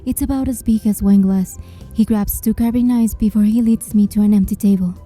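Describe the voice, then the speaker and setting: A woman's voice: medium pitch, fairly even intonation, brisk, warm tone. A woman reading scripted first-person story narration as the heroine of a tale. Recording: music under the voice.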